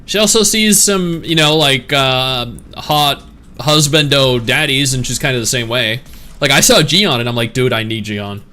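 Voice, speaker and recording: A man's voice: medium pitch, moderate pace, bright, forceful tone. Chatty man streamer answering chat. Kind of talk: casual chat reading live chat.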